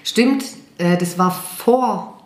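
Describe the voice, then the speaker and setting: A woman's voice: medium pitch, very slow. A middle-aged woman singer talking casually as an interview guest, hesitant and full of fillers, with self-deprecating laughs. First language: German. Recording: echoey room.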